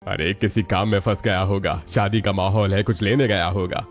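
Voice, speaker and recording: A man plays a practical middle-aged cartoon father with a low voice. He is easygoing and matter-of-fact, over faint music in slightly dull audio. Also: fairly even intonation, moderate pace, natural voice. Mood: reassuring.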